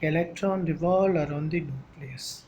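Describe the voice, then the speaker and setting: A woman with a Tamil accent teaching chemistry, talking slowly and checking in with her listeners. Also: low voice, warm tone. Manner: slow, repeats questions like "Okay, va?" to students. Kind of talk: classroom lecture. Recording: faint background noise.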